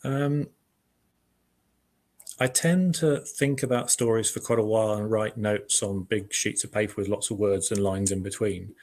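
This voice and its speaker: A man's voice: lowish voice, fairly even intonation, moderate pace, darkish tone. A man speaking as an interviewed writer, chatting casually and thoughtfully, a little hesitant, with self-deprecating humour.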